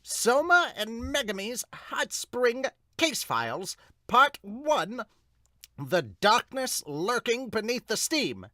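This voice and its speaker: A man plays an emphatic manga announcer. The voice is lifted high and very animated, with drawn-out phrasing. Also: no room sound, clean studio sound, heavily acted. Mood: announcing.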